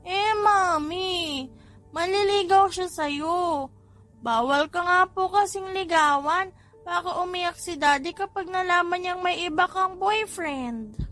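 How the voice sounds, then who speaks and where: A very high, childlike voice of a cheeky little boy played by a woman, whiny and earnest with his mother. He teases and pleads in a storybook family comedy, with faint music behind. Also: slow, a bit bright, exaggerated voice. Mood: earnest, protesting. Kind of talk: audiobook character voice in a family comedy.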